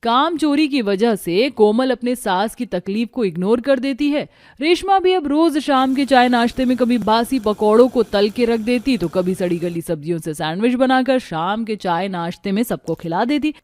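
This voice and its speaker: A woman reading a scripted narration for an animated moral story. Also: a little high, normal speed.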